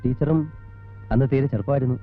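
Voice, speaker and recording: Actor as a brisk, probing Malayali policeman. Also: mid-range voice, faint music behind, lightly acted. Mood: curious, probing. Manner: probing, clipped questioning. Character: persistent, businesslike male police investigator. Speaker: actor in a film. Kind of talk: film drama acting. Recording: tinny band-limited old film soundtrack.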